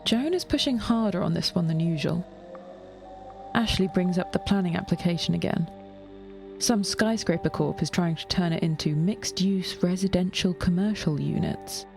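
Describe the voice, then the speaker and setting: A woman narrating scripted fiction in a measured way, with dry wit. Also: on the low side, creaky, a little mellow, soft background music.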